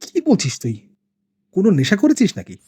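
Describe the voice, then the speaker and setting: A man voicing a gruff, corrupt senior police officer in a horror cartoon. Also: high voice, wildly swooping pitch, fairly quick, mellow, exaggerated voice. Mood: scornful. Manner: scolding, disbelieving.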